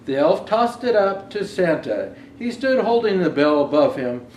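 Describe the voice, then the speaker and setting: A man's voice: high-pitched, normal speed. A man reading a children's Christmas story aloud expressively as a storyteller, adding casual asides. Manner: expressive, raises voice for quoted speech. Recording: roomy echo, faint background noise.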